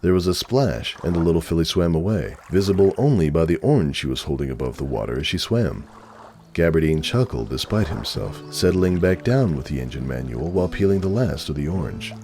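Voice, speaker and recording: Deep-voiced man narrating with animated intonation. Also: brisk, a little hiss or hum. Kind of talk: dramatic scripted story narration.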